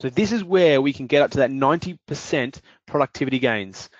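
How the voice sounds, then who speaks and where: A man presenting a screen-share software demo, explaining each step in a steady way with occasional fillers. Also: medium pitch, fairly quick, no room sound, dull audio.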